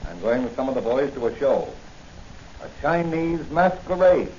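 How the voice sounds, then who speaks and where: A man's voice: medium pitch, animated, moderate pace, mellow, natural voice. A muffled old radio recording with faint noise. An actor plays a breezy, boastful man chatting colloquially on the telephone in 1940s style. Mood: breezy, amused.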